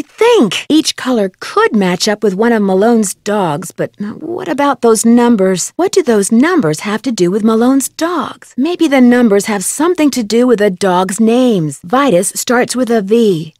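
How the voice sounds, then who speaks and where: An actress playing a curious, determined young detective in an adventure game, with an American accent and clear, expressive delivery. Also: female voice, on the high side, no room sound, clean studio sound. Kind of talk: scripted adventure game voice lines.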